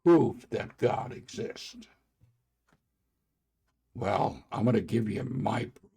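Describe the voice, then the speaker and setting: Brief: hoarse male podcast host, very low gravelly voice, slow and halting, earnest testimony. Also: lively intonation, mellow. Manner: halting, with frequent filler pauses. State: hoarse from a sore throat. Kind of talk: casual faith testimony monologue.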